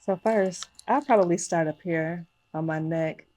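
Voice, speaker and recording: A woman guest who is a wellness expert, calmly explaining in a casual conversation. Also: fairly low pitch, unhurried. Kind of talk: casual chat.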